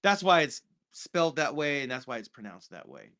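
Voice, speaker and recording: A man's voice: medium pitch, big pitch swings, a little fast, a bit bright. A man, co-hosting a casual film review chat and explaining at length with many restarts. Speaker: podcast co-host.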